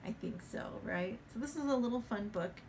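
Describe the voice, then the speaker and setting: A woman reading a picture book aloud in a chatty, hesitant way, pausing to explain the pictures. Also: on the high side, expressive, a little mellow, slight background noise. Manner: chatty, hesitant with many fillers. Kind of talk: casual read-aloud with commentary.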